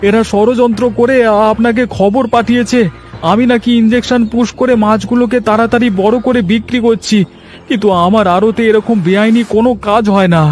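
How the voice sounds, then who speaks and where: Casting: male actor as honest, aggrieved fish seller for a village cartoon, high-voiced, indignant, emphatic. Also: fairly even intonation, brisk, a little mellow. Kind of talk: Bengali cartoon story acting.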